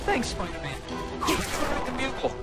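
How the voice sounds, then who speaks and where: A man's voice: high voice, wildly swooping pitch, a little slow, full character voice. An actor playing a male civilian in peril in a video game. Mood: grateful. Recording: loud music and din behind.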